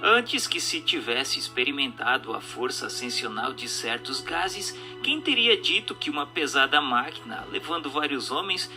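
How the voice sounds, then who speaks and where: A man's voice: a little high, pushed and bright. A man reads a formal text as dramatic narration, in an emphatic, rhetorical, oratorical manner. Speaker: narrator reading a book aloud. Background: music.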